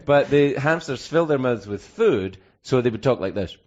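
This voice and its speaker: Rambling Scottish man, lively pitch. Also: normal speed, lo-fi sound. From Scotland. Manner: rambling, deadpan absurd jokes. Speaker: radio show host. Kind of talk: casual comedic chat.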